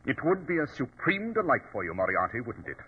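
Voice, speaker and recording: An actor playing a self-assured, dryly superior detective, speaking precisely in 1940s radio drama style. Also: male voice, mid-range voice, very animated, a little fast, slightly rough. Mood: dry defiance. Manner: precise, explanatory, theatrical. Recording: tinny old radio recording.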